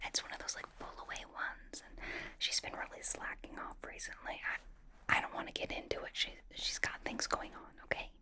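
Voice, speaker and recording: A woman playing a chatty, suspicious young superheroine in a soft, breathy ASMR whisper, rambling through a playful interrogation. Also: fairly quick. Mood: apologetic, rambling. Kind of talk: ASMR roleplay, mostly whispered personal attention.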